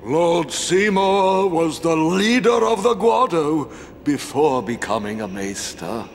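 A man's voice: on the high side, lively intonation, slow, a little hiss or hum. A man playing a stern, loyal older steward of a fantasy race, formal, haughty and accusing, in a video game. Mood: cold, stern. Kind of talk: video game cutscene acting. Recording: music behind the voice.

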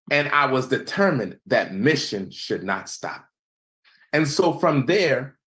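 A young American man giving an evangelism seminar over a video call, measured and preacherly, letting phrase endings fall quiet. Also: a little hiss or hum, rough recording. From United States. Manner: measured, preacherly, emphatic, drops pitch and volume at phrase ends. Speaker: seminar presenter. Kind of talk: presentation lecture with slides.

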